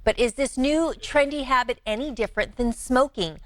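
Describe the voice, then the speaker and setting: Bright female announcer, dry sound. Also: high voice, moderate pace, dry recording. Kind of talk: scripted promo read.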